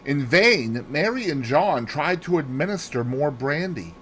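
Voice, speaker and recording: A man reading a classic mystery novel aloud as a narrator, in an expressive, theatrical manner. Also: a little high, measured pace, a little hiss or hum. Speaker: audiobook narrator.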